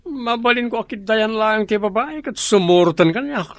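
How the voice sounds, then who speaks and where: A man's voice: high voice, expressive, normal speed, dry recording. An actor playing a boastful, greedy young man in an Ilocano radio drama, with an Ilocano accent and a smug delivery.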